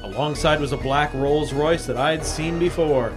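A man's voice: on the high side, moderate pace, over music, lightly acted. An actor playing a hard-boiled, sly American freelance newspaperman, with a clipped, wry delivery in an old-time radio drama. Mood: tense narrating. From United States, San Francisco. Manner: clipped, wry, world-weary storytelling. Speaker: lead actor and narrator. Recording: vintage radio broadcast.

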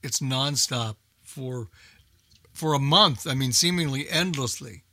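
A man's voice: medium pitch, a little slow, sharp tone, no echo, clean studio sound. An older American man chatting casually in a group, speaking emphatically and repeating himself for emphasis.

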